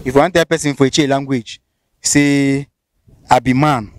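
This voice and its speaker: A man with a Nigerian Pidgin accent asks questions at a mid pitch and rephrases them again and again. His voice is recorded dry, with almost no room sound. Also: steady tone, moderate pace, dry recording. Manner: probing, repeats his questions. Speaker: interviewer. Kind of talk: casual street interview.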